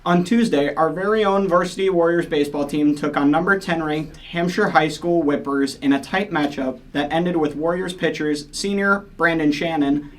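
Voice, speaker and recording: A teenage boy reads the week's school sports highlights in a slightly high voice. His delivery is brisk and cheerful. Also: rough recording. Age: teens. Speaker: sports reporter.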